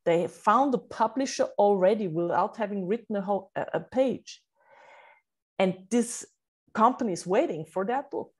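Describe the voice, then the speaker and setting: A woman's voice: mid-range voice, normal speed, husky. A middle-aged woman whose first language is German, explaining her book coaching earnestly in a podcast interview, with many fillers and restarts.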